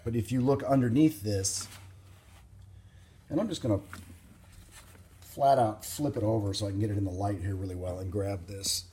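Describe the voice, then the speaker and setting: A man giving an informal, unscripted hands-on demonstration as a hobbyist, explaining things in a plain-spoken, halting way. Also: on the low side, steady tone, mellow, a little hiss or hum. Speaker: vintage radio hobbyist presenting a demonstration.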